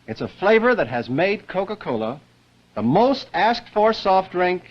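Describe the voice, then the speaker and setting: A man's voice: high-pitched, very animated, normal speed, muffled recording, a little hiss or hum. A man reading a scripted commercial as an announcer on old-time radio. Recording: band-limited vintage radio recording.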